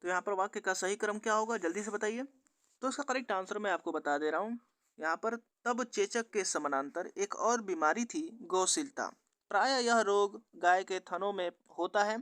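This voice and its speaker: A man's voice: sits high, normal speed, fairly bright tone, no echo, clean studio sound. A man working through exam practice questions as a teacher, with an instructional, formulaic question-and-answer delivery. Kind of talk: scripted quiz walkthrough lesson.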